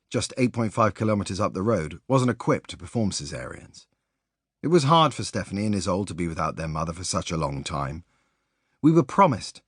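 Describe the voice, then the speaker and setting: A man reading a sports biography as an audiobook narrator, in an expressive, measured storytelling manner. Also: deep voice, no room sound, studio quality.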